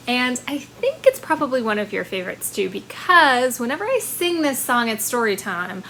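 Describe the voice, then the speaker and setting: A woman's voice: fairly high pitch, fairly bright tone, slight background noise, low-quality recording. A woman working as a children's librarian, presenting storytime in a simple, sing-song way for small children.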